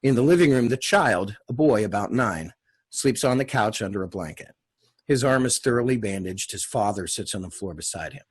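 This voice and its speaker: A man's voice: fairly low pitch, expressive, fairly quick, breathy edge. A man reading screenplay stage directions as narrator, in clipped scripted phrases. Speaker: stage-direction narrator and table read leader.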